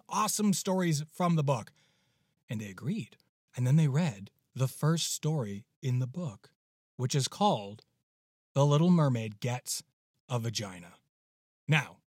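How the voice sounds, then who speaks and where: A man's voice: on the high side, no echo, clean studio sound. A man hosting a podcast, giving an enthusiastic introduction in an effusive, emphatic way. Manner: effusive, emphatic with repeated words. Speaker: podcast host.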